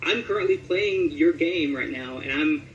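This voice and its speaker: A man's voice: on the high side, moderate pace, lo-fi sound, slight background noise. A man giving a casual interview as a voice actor, talking conversationally and thinking aloud with some hesitation. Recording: roomy echo with music underneath.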